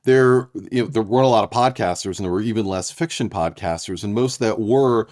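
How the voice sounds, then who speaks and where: A man, a podcast writer and co-creator, talking in a thoughtful, halting way, often restarting his sentences. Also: fairly low pitch, darkish tone, no echo, clean studio sound. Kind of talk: casual podcast intro chat.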